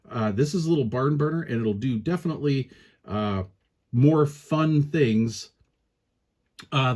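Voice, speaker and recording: A man's voice: mid-range voice, a little mellow. A man talking informally and colloquially as he hosts a casual hands-on product review. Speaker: product reviewer, channel host.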